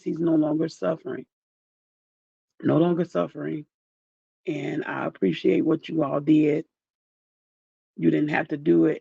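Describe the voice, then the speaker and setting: A man with a dark, husky, deeply gravelly voice that he calls groggy, heavy with grief. He talks slowly and softly to his viewers, rambling and heartfelt. The recording sounds dull and dry, with a lo-fi edge. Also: no echo. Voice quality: groggy, deep. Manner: soft-spoken, rambling, heartfelt. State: grieving. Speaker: YouTuber thanking viewers. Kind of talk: casual direct-to-camera chat.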